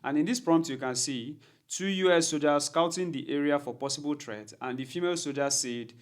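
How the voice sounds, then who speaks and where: A man's voice: on the high side, a little fast. A man presenting a tutorial, narrating instructions methodically step by step. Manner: methodical, repetitive step-by-step explaining. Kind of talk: instructional screen-recording narration.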